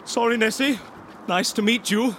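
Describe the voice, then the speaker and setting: A man playing a fussy, polite, easily flustered gentleman in an audio comedy. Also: very high voice, moderate pace, slight background noise. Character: fussy, polite, nervous gentleman leading a boat trip. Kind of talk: audio fiction comedy acting. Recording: water and boat ambience behind.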